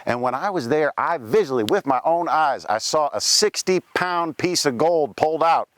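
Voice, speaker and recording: A man's voice: a little high, lively intonation, moderate pace, a bit bright. A man playing the ghost of a Welsh-born pioneer gold miner, telling his life story in a folksy first-person way with frequent asides, outdoors at a cemetery. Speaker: costumed cemetery tour performer. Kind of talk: first-person historical reenactment.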